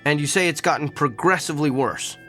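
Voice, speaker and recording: Man acting an earnest doctor, higher-pitched voice. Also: brisk, fairly bright tone, faint music behind, lightly acted. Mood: probing, calm. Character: earnest male doctor with an uncanny diagnostic gift. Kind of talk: radio drama acting.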